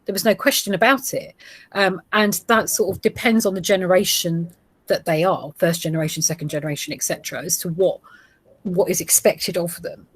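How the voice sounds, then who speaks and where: A woman's voice: lowish voice, fairly quick, slightly rough, no echo. Woman teacher presenting in a dry booth. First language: Gujarati heritage, English now first. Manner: steady explanatory delivery with fillers. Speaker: geography teacher.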